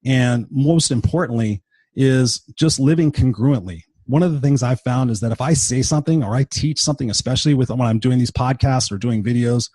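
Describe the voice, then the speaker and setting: Husky, blunt fifty-something man. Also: mid-range voice, a little fast, dark tone, no room sound. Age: 50s. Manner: blunt and profane, emphatic repetition. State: tired and sick. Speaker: motivational podcast host. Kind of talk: unscripted motivational monologue.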